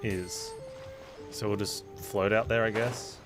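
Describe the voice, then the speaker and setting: A man's voice: lowish voice, steady tone, takes it slowly. A man giving casual live gameplay commentary, thinking aloud in an offhand way as he streams. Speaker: video game streamer. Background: game music.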